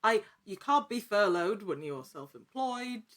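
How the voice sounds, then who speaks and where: A woman talking casually as an interview guest, telling her story in an animated, chatty way. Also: mid-range voice, measured pace. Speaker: podcast guest, actor.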